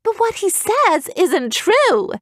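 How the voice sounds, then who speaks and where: Woman voicing a fiery young heroine, much higher voice, urgent, defiant, swooping. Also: very animated, normal speed, darkish tone, dry recording, studio quality.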